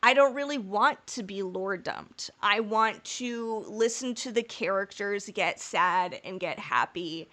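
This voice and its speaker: A woman's voice: medium pitch, measured pace, hardly any pauses, fairly bright tone. Rambling, candid woman podcast co-host. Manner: rambling and candid, swears casually; laughs through her own jokes. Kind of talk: casual podcast chat.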